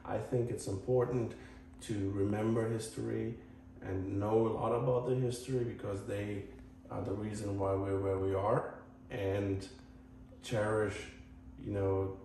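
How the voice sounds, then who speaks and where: Man, Croatian accent, very even intonation, rambling casual vlog talk, earnest, halting, far from the mic with faint noise. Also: on the low side, vocal fry, very echoey. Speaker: host of a tennis channel.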